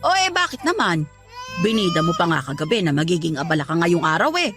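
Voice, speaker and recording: An old Filipino grandmother in a radio drama. She is blunt but caring, with lively, swooping pitch and a scolding, exclamatory delivery. Faint music runs underneath. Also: brisk. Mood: surprised, indignant. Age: older. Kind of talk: Tagalog radio drama acting.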